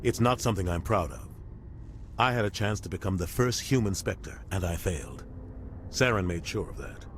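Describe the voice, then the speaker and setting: A low-voiced, weary military captain. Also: male voice, expressive, normal speed, slight background noise. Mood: grave, recounting. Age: middle-aged. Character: weary, dutiful middle-aged male military captain and mentor. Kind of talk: video game dialogue acting.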